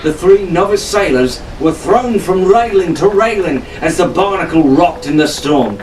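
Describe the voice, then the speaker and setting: Guy narrating an audiobook dramatically, slightly high voice, bit far from mic, noise behind him. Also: expressive, moderate pace, darkish tone. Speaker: storyteller.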